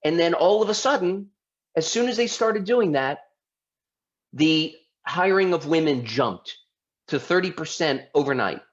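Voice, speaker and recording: A man's voice: fairly high pitch, lively intonation, measured pace. Chatty man lecturing, slightly muffled. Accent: Baltimore, mostly suppressed. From Baltimore, United States. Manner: conversational, with frequent restarts and rhetorical questions. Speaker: lawyer presenting a talk. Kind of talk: informal presentation. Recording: slightly dull lo-fi audio.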